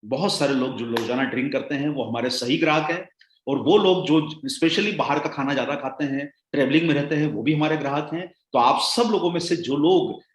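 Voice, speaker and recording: A man's voice: a little high, fairly quick. Rousing male trainer in an echoey room. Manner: rousing, addresses listeners as friends, swings between loud and hushed. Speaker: sales trainer. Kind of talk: motivational product training talk.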